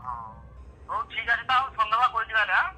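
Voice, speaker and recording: A man with a rural Medinipur Bengali accent in a casual phone chat, halting and repeating himself. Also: sits high, very animated, pushed and bright. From West Bengal, India. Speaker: prank call recipient. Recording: noisy phone call, clear background noise.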